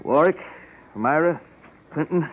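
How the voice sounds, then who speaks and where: An actor playing a nervous, aging ex-convict chestnut peddler who stammers and repeats himself in streetwise slang, in a 1950s radio drama. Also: male voice, a little high, lively intonation, unhurried. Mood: nervous pleading. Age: older. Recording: noisy muffled old radio recording.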